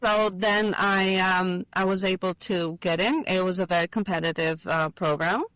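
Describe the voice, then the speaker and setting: A woman's voice: lowish voice, fairly even intonation, normal speed, a bit bright, clean tone, dry recording, low-quality recording. A woman from Albania, a university lecturer, talking earnestly in an interview, with small hesitations and fillers. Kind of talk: casual interview conversation.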